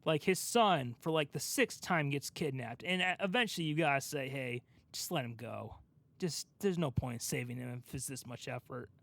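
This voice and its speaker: A man's voice: medium pitch, animated, moderate pace, vocal fry, fairly bright tone. A man co-hosting a casual pop-culture chat, asking questions and giving his opinions in an informal way. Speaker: podcast co-host asking questions.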